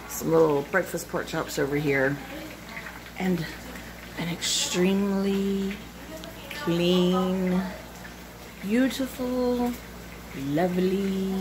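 A wry, tired woman in her forties talks through her day into a phone held at arm's length. Her voice sits low and unhurried. The home recording carries clear background noise. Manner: wry, self-deprecating, tired. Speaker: vlogger. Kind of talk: casual home vlog. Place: small kitchen at home, indoors.

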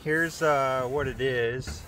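A man's voice: mid-range voice, fairly even intonation, slow, pushed and bright, a little hiss or hum. A man filming outdoors with a handheld camera, chatting and teasing as he narrates what he shows. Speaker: son filming his father for a video. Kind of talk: casual vlog narration and chat. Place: outdoors at a small airfield.

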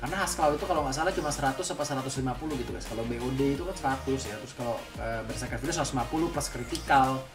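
A man's voice: medium pitch, rapid-fire, hardly any pauses. A young man giving casual, slangy gameplay commentary, explaining things as he goes and often addressing his viewers. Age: young adult. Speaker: gaming video creator and commentator. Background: music.

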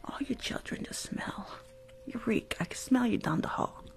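A young woman with a New York accent whispers her way through an ASMR roleplay. Her voice sits fairly high and breaks into heavy creak. She is sassy and curt, playing a dismissive school nurse close to the microphone. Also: lively intonation, moderate pace. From Bronx, New York. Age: young adult. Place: small room at home. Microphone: close desk microphone with fluffy cover.

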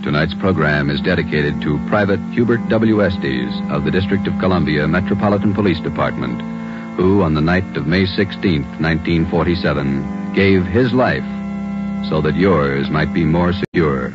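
Deep solemn radio announcer man. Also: normal speed. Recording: dull old radio recording with loud music.

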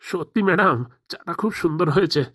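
A woman's voice: lowish voice, quick pace, husky, dry recording, clean studio sound. A woman voicing a fawning, gullible middle-aged male politician in a cartoon, wordy and deferential. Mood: flattering, puzzled. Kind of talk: amateur cartoon voice acting.

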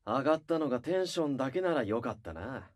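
A man playing a gruff, hot-tempered young male idol in a voice drama, curt and rough-spoken. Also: medium pitch, lively intonation, fast, lightly acted. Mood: dry. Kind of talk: Japanese voice drama acting.

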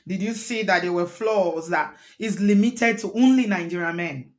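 A woman's voice: medium pitch, moderate pace. Woman host questioning callers on a live stream. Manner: probing, brings callers in. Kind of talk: live-stream call-in chat.

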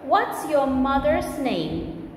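English teacher lady in her thirties, high voice, encouraging, echoey room with loud background noise. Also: big pitch swings, extremely slow. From Belarus. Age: 30s. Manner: simple short questions, encouraging, repeats answers. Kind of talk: spoken English drill with a student.

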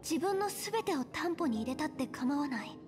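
A gentle young girl in anime-style game dialogue. The voice is high and soft, earnest with quiet determination, with music clearly audible behind it. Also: animated, normal speed. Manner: soft-spoken, sincere. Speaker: game voice actor. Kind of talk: Japanese game story dialogue. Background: game background music.

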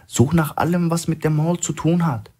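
A man voicing a brisk, decisive woman who gives terse orders in a thriller audiobook. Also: a little high, warm tone, breathy edge, pitched above the performer's own voice. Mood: brisk, commanding. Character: brisk, commanding woman planning a covert operation.

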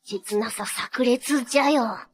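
A woman's voice: on the high side, takes it slowly, husky. A woman voicing a bubbly, clumsy teenage magical-girl idol in an anime, with a melodramatic, exclamatory and goofy delivery. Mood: dejected, deadpan. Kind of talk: Japanese anime voice acting.

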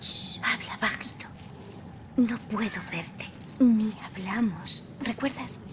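High-pitched, defiant teenage girl. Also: slow pace, noisy background. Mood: hushed, frustrated. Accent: Castilian Spanish. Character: sarcastic, guarded, defiant teenage girl medium. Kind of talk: video game cutscene acting. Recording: prominent music under the voice.

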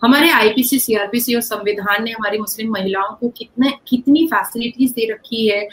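A woman from India talks as a guest over a video call, voice set fairly high. She pushes her points with insistence and trips into quick restarts as she goes. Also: slight background noise, rough recording. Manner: earnest, insistent, with frequent restarts. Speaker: interview guest, activist. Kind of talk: online video-call interview. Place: indoor home room, video call.

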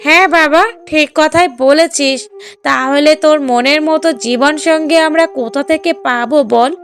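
A woman's voice: sits high, steady tone, normal speed, fairly bright tone. A woman playing a middle-aged village mother in a cartoon drama, coaxing in a fretful, affectionate way. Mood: agreeable, inquisitive.

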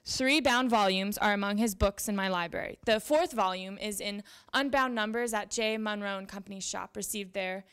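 A young woman giving a dramatic reading of historical letters in deliberate, formal phrasing, on a handheld microphone in an event room. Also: medium pitch, fairly bright tone, clear, resonant tone. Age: young adult. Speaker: reader at a library event. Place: indoor event room with small audience.

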